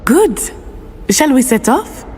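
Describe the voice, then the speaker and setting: Lively, eager schoolgirl witch. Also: sits high, slow, slight background noise, heavily acted. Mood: friendly, eager. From grew up abroad, in a land without snow. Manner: formal, careful phrasing with few contractions. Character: friendly, adventurous teenage schoolgirl witch, eager guide. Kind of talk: video game voice acting.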